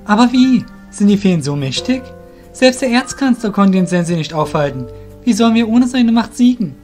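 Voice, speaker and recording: A man's voice: sits high, animated, moderate pace, darkish tone, faint music behind, voice pushed up. A man voicing a troubled young warrior in an audio drama, earnest and plaintive, blaming himself. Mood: doubtful, questioning.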